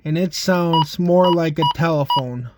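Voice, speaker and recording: A man casually showing items from a collection in a plain, halting, item-by-item way. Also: fairly high pitch, very noisy. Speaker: collector showing weather radios. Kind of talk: casual show-and-tell vlog.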